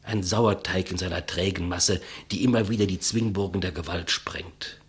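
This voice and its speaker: An actor playing a humble, gentle executioner in a 1950s German radio play, speaking with hushed reverence in a husky, breathy voice. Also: male voice, sits low, steady tone. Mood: solemn, fervent. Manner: slow, reverent, deferential, hushed. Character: humble, gentle executioner, calm servant of the rulers.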